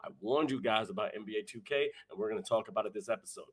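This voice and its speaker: A young adult man hosting a live streamed show, hyped and energetic, his voice hoarse from talking so much. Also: fairly low pitch, very fast. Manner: energetic, shouting out viewers in chat. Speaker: host.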